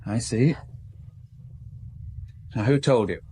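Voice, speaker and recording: A brisk police desk sergeant, played by a man, questions a witness in curt, businesslike lines. A middle-pitched voice in a radio play over a faint hiss. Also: mellow, natural voice. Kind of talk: radio drama acting.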